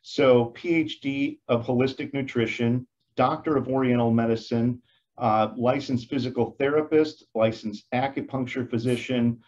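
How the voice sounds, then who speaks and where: A man's voice: mid-range voice, steady tone, moderate pace, darkish tone. Middle-aged male host, upbeat, slightly dull lo-fi video call sound. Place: home room with bookshelf.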